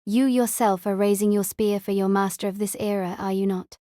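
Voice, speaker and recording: A woman's voice: medium pitch, steady tone, a little fast, warm tone, no room sound, clean studio sound, lightly acted. A woman playing a stern, noble female knight spirit in an audio drama, formal and firm. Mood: proud, guarded.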